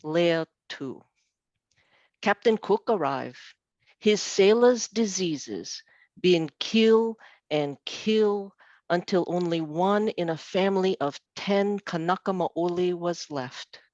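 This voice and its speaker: Slow Hawaiian woman, dull dry sound. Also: on the low side, a little mellow, dry recording. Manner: unhurried, with hesitant fillers. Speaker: open mic poet. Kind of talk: introducing and reading a poem. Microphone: video call. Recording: dull, dry video call audio.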